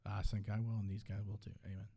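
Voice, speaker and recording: A man conducts a casual live interview at a brisk clip. His voice is very dark and relaxed, set a little low. He often chimes in to agree. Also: breathy edge. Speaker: interviewer and co-host. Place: live on stage at a collectors convention.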